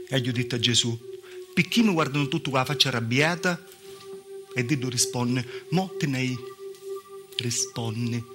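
Southern Italian village woman, music behind. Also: medium pitch. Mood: puzzled, rueful. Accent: southern Italian, Calabrian. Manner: intimate storytelling with repetitions and spoken sound effects. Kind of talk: theatrical dialect monologue. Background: live music accompaniment.

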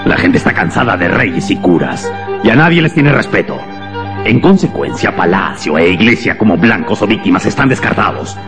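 A scornful, domineering embassy official in a radio drama. His voice swings widely in pitch with a gravelly creak, hectoring and emphatic, ringing in a long hall reverb. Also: sing-song, normal speed, music in the background. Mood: lecturing. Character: imperious, contemptuous embassy official, pale and melancholy.

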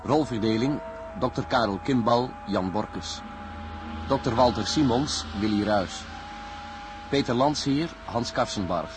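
A man's voice: medium pitch, measured pace, music in the background. A man announcing the credits of a radio serial in a formal, scripted style.

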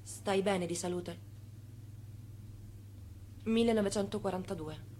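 An actress playing an estranged former wife in a radio drama, restrained and melancholy, putting short, measured, probing questions. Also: female voice, mid-range voice, mellow, a little hiss or hum, lightly acted.

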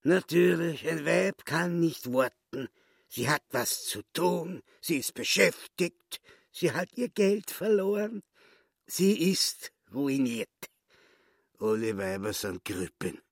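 Scheming little crook boss, high swooping husky voice, self-pitying, theatrical, radio drama. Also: male voice, expressive, dry recording, clean studio sound, full character voice. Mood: scornful. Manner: theatrical, wheedling, emphatic pauses. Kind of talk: radio play acting.

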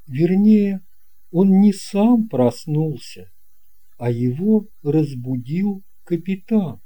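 A man narrating a scripted children's tale as an audiobook reader, in deliberate, measured phrases. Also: fairly high pitch, warm tone.

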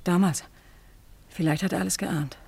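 Troubled farm wife, low-pitched, husky, dry sound, fearful, determined, radio drama. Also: female voice, steady tone, moderate pace, darkish tone, no echo, full character voice. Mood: brooding, hushed. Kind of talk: German radio play acting.

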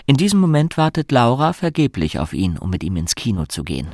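An actor as the composed, observant narrator of a radio drama, reading scripted prose. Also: male voice, mid-range voice, big pitch swings, a little fast, darkish tone, dry recording, studio quality. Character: omniscient storyteller, composed and observant.

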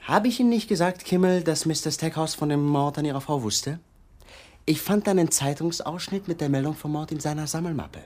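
An actor playing an accusatory young police detective in a German radio drama, mock-polite and insinuating. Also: male voice, a little high, a little fast, a little mellow, natural voice. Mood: smug, needling.

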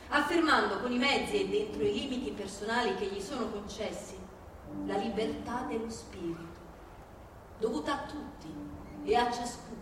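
A woman reads aloud in a theatrical, declamatory way with deliberate phrasing. Her voice sits fairly high. It comes from a distance in a very echoey space, with clear noise on the recording. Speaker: stage reader. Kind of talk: theatrical dramatic reading with music concert.

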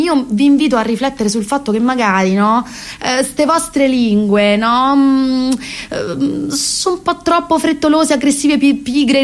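Actress as an impassioned, high-voiced rambling thirtyish woman. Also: natural voice. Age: 30s. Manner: hesitant, self-correcting, mock-solemn and emphatic. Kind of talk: comic theatrical monologue for radio theatre.

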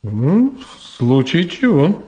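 An actor playing a wry, laconic state governor in a 1970s Soviet radio drama. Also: male voice, fairly high pitch, big pitch swings, slow, warm tone.

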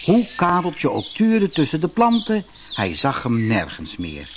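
Male storyteller, very lively intonation, slightly high pitch, scripted children's audiobook with music. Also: measured pace, noisy background. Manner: expressive storytelling. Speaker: audiobook narrator. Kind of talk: scripted fairy-tale narration.

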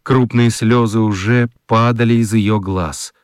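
A man narrating a children's radio play as an expressive storyteller, with deliberate dramatic pauses. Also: fairly low pitch, smooth, dry recording, clean studio sound. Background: prominent music.

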